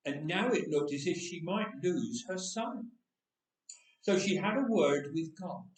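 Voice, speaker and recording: A man reading a storybook tale as a candid thirteen-year-old boy who recalls his own death in a chatty, matter-of-fact way. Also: sits high. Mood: matter-of-fact, reflective. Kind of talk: first-person storybook reading. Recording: echoey room, distant microphone.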